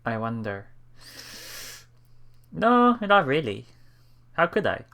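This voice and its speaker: A man reading visual novel text aloud as a let's play host, with casual commentary and a halting delivery that repeats phrases. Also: on the high side, wildly swooping pitch, vocal fry, sharp tone. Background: game music.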